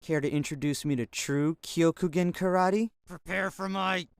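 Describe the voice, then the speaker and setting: A man's voice: a little high, moderate pace, smooth, dry recording, studio quality, natural voice. A man voicing a brash, brooding young martial-arts fighter in a video game, with terse, cocky, dramatic delivery. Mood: brooding, determined. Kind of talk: fighting game character voice clips. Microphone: close dry studio recording.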